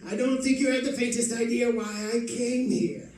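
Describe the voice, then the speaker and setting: Suave gangland villain, played by a man, high-pitched, unhurried, mock-courteous, echoey live stage. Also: steady tone, a crowd in the background. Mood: gloating. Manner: silky, taunting, mock-courteous, chuckling. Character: suave, mocking male escaped-convict villain with a gang. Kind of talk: old-time radio crime drama acting. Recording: echoey live stage, distant mic, faint noise.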